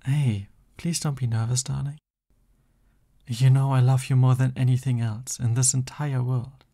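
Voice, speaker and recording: A man's voice: medium pitch, flat delivery, normal speed, warm tone, close to an everyday voice. A man playing a young supervillain boyfriend in an ASMR roleplay, theatrical and boastful but easily flustered and affectionate. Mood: tender, reassuring.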